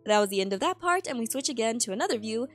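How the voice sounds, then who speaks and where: High-voiced woman narrating over music. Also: lively intonation, rapid-fire. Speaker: host of horror analysis videos. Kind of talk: scripted recap narration with casual asides. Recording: music bed under the voice. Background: piano and eerie background music.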